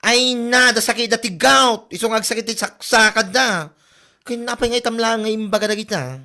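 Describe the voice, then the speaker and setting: A woman's voice: on the high side, moderate pace, sharp tone. A woman acting a sulky grown daughter in a comedy drama, blunt and dismissive. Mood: argumentative.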